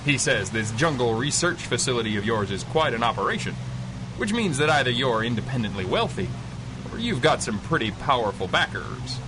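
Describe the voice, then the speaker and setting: An actor playing a smooth-talking, daring pilot adventurer in a radio drama, wry, courteous and coolly ruthless. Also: male voice, medium pitch, big pitch swings, a little fast, music in the background, lightly acted. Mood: smooth, reassuring. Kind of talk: 1930s-set radio adventure acting.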